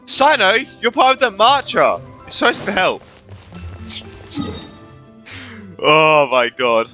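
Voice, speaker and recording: A man's voice: high voice, wildly swooping pitch, slow, bright, forceful tone, faint music behind. A man giving casual playthrough commentary as a gamer, informal and playful, reacting aloud. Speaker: gamer, video host.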